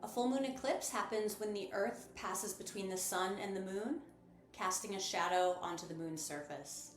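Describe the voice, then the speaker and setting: A woman's voice: medium pitch, moderate pace, a little hiss or hum. Woman guiding a meditation in roomy echo. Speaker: guided meditation host and sound bath guide. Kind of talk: guided meditation narration. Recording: roomy echo with music behind. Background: music.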